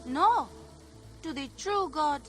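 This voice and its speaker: Very high-pitched earnest child. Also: female voice, lively intonation, slow, fairly bright tone, soft background music, exaggerated voice. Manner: simple, earnest. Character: earnest, devout small child. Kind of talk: dramatic video game cutscene acting.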